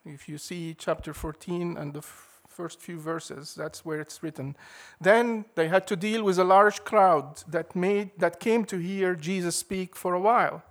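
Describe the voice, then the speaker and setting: A man preaching a sermon in a measured, emphatic way, leaning hard on key words. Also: high voice, steady tone, fairly bright tone.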